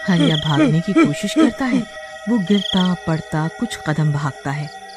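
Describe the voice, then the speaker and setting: A man narrating a scripted horror story dramatically, with suspenseful, drawn-out phrasing. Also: fairly high pitch, big pitch swings, loud music behind the voice.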